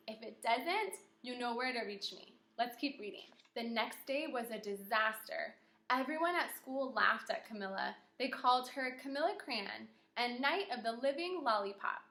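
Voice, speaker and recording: A woman kindergarten teacher reading a story aloud with teaching asides, expressive, questioning and encouraging, recorded in an echoey room. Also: high-pitched.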